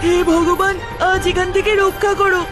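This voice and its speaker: An actor voicing an excitable, easily frightened young cartoon character with a dramatic delivery. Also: very high voice, moderate pace. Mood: frightened, pleading. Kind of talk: cartoon dub acting. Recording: loud music behind the voice.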